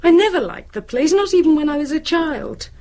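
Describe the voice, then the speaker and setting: Creaky, troubled young wife. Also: female voice, sits high, lively intonation, brisk, darkish tone. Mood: subdued, earnest. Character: downcast, tense adult daughter and wife, troubled. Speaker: actor in a radio drama. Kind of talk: naturalistic radio drama acting.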